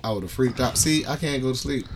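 A man's voice: medium pitch, a little fast, soft background music. A man bantering casually as a podcast co-host, telling stories with profane humor. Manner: profane, joking storytelling.

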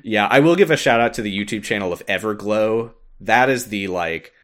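Lowish voiced guy hosting a podcast, nerdy fan chat, casual but emphatic. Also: brisk, fairly bright tone. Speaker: podcast host. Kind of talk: casual podcast chat about video game lore.

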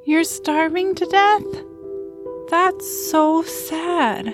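A woman's voice: extremely high pitch, steady tone, drawn-out words, exaggerated voice. A woman playing a curious, innocent little troll girl, childlike and tender, in a family audio drama. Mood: sympathetic. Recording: music behind the voice.

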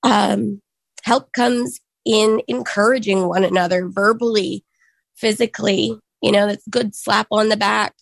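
A woman in her thirties speaks with a hoarse voice that has a gravelly, fry-laden edge. She talks earnestly and reflectively and often stops to pause with an um. The recording is dry and close. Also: mid-range voice, steady tone, dry recording. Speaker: speaker sharing her story. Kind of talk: personal testimony talk.

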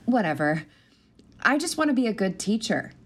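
A woman's voice: medium pitch, big pitch swings, normal speed. A woman in her thirties playing an anxious, self-doubting new schoolteacher with a wry edge, in a naturalistic audio drama. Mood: earnest. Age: 30s. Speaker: actor in an audio play.

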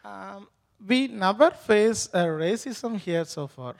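A man with an Indian accent shares a heartfelt testimony, stopping often to search for words. His voice sits high for a man. He sounds earnest and thankful. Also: expressive, vocal fry. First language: Tamil. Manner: halting, many fillers, earnest and grateful. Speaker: church member giving testimony. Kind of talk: personal testimony in an interview.

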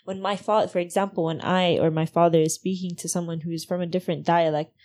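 A Saudi woman with a lowish, mellow voice, chatting casually and explaining her point at length. Her sentences often trail off into soft, quiet asides. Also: fairly even intonation, fast. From Saudi Arabia. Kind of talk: casual conversation.

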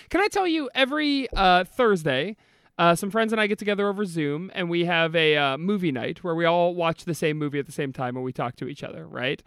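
Podcast host, male, Midwestern American, high voice with lively swings, teasing and conversational, recorded dry and close. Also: a little fast, clear, resonant tone, no room sound. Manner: joking, teasing. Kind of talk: casual comedic banter.

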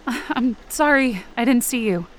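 Anxious young camp counselor, higher-pitched. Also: female voice, lively intonation, unhurried, slight background noise. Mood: worried calling. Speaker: actor narrating as a camp counselor. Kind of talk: first-person audio drama narration. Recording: rain and ambience behind the voice. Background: rain and outdoor ambience effects.

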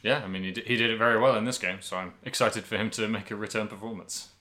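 A man co-hosting a podcast, casually talking through video games in a halting, thinking-aloud way. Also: lowish voice, fairly even intonation, bright, forceful tone. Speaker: podcast host. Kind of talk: casual video game discussion.

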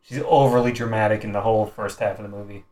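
A man's voice: on the low side, flat delivery, quick pace, darkish tone. A man giving opinions in an informal, conversational way as a panelist. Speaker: podcast panelist. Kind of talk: casual chat.

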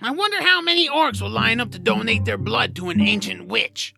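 A woman voicing a small, grumbling, cowardly troll with a creaky, whiny, theatrical delivery in a family audio drama. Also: fairly high pitch, a little fast. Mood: grumbling, defensive. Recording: music under the voice. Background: background music.

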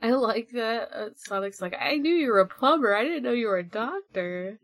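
Woman, very lively intonation, close dry sound, informal, amused, giggly, casual co-host banter. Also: a little high, moderate pace, dry recording. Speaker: podcast co-host. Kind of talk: casual chat, joking commentary.

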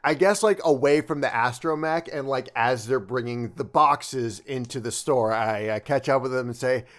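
A man's voice: medium pitch, normal speed. A man playing a tabletop roleplaying game, telling jokes and rambling with many restarts. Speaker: player.

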